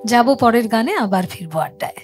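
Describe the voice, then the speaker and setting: Woman host, middle-aged, slightly high pitch, conversational, light music in background. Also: expressive, a little fast. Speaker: talk show host. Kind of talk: casual chat.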